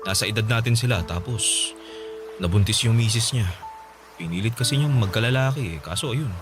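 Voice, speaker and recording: A man's voice: on the low side, brisk, music in the background, natural voice. A Filipino man playing a put-upon, evasive boyfriend and father in a radio drama, defensive in manner. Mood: uneasy, evasive.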